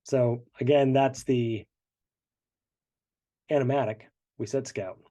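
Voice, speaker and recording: A man's voice: mid-range voice, steady tone, takes it slowly, warm tone. A congested man, the show's creator, chatting through behind-the-scenes commentary with many fillers. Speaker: show creator.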